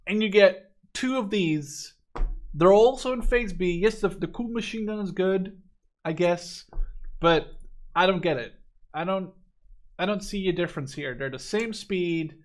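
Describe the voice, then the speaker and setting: Male gaming host, twenties, from the Netherlands, slightly high-pitched voice, casual and opinionated review delivery. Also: a bit bright. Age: 20s. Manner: opinionated, informal with swearing. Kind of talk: casual game review commentary.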